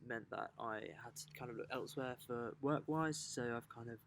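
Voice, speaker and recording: A man's voice: mid-range voice, normal speed, fairly bright tone. A man in his twenties talking casually, with many filler phrases. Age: 20s. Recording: noisy background.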